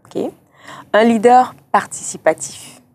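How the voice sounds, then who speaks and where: A woman hosting an interview, posing structured questions and briskly acknowledging answers. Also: a little high, darkish tone. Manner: brisk, affirming with short acknowledgements. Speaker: interviewer, show host.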